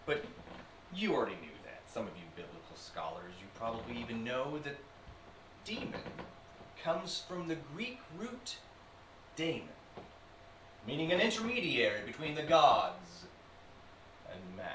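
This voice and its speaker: A man's voice: medium pitch, big pitch swings, a bit bright. A man playing a sly, taunting demon in a theatrical, sinister monologue spoken to the viewer. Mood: smug, lecturing. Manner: slow deliberate delivery with sinister chuckles and sudden swells. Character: sly, taunting male demon possessing a human, theatrical vlogger. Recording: roomy, distant mic with faint noise.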